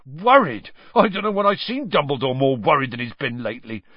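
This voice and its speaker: A man voicing a huge, gruff, hot-tempered gamekeeper in an audiobook, growling in blunt colloquial speech. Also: medium pitch, big pitch swings, moderate pace, dry recording, muffled recording, voice pushed up. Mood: angry.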